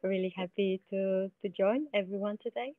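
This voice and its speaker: A woman's voice: medium pitch, steady tone, no room sound. Woman on a tinny video call. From Bulgaria. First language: Bulgarian. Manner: friendly, halting with frequent fillers and repeats. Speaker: startup founder, guest. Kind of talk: casual interview answers. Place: outdoors, sunny spot with palm trees. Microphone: laptop video call.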